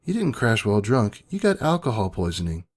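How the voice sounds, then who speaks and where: A man's voice: fairly low pitch, very animated, fairly quick, breathy edge. A man voicing a smirking, frank ghostly double of a female pony, casual and knowing, in a dramatic story reading. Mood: wry, knowing. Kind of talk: dramatic fan fiction reading.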